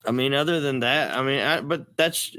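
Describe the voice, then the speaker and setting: A man's voice: mid-range voice, moderate pace, sharp tone, no room sound, clean studio sound. A man with a Texas accent chatting casually in an interview as a country rock singer, folksy, plain-spoken and a little profane.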